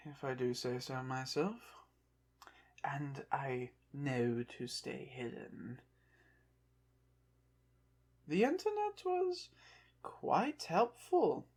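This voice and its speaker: A performer in an ASMR roleplay voicing a haughty, prideful undead Egyptian pharaoh, slow and softly acted, with pompous, formal phrasing. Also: mid-range voice, exaggerated voice. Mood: chatty, composed.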